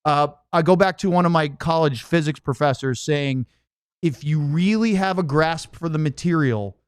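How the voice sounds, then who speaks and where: Man, podcast host, somewhat high pitch, crisp clear tone, dry sound, enthusiastic, casual sports chat. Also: moderate pace, dry recording.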